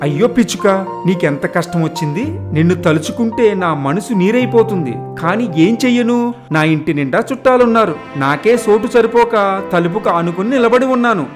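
A sly storybook crow, played by a man, envious and full of false pity. The voice is high, with music clearly playing underneath. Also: animated, normal speed. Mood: feigned pity. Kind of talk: storybook character voice.

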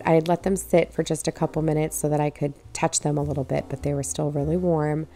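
A woman narrating her home cooking video in a relaxed, step-by-step way, walking viewers through each recipe stage. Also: deep voice, fast, soft background music. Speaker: cooking channel host.

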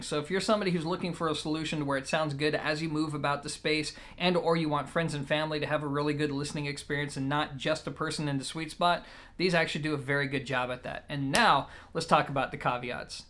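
A man in his thirties with a slightly high-pitched voice reviews gear in a casual style. He speaks straight to his viewers, conversational and plain, like a regular host of his own channel. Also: steady tone, fast talker, bright, forceful tone. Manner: conversational, addresses viewers directly. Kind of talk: casual product review to camera. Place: indoor room at home, seated.

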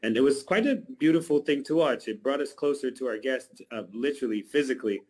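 A man's voice: medium pitch, expressive, fast, darkish tone. Hesitant middle-aged man in an interview. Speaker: restaurant chef-owner, guest. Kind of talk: reflective interview answer. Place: small home room indoors, video call.

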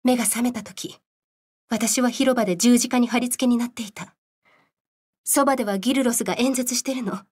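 A solemn scientist narrating a game cutscene. Her voice is fairly high and husky. She recounts a grim past in serious, measured storytelling. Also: fairly even intonation, a little mellow. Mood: grave, bitter.

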